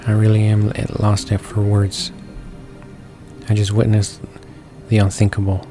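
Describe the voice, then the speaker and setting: A low-voiced man reads a visual novel aloud in a very monotone way. He halts and stumbles over words while game music plays behind him. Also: measured pace. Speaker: gamer reading along.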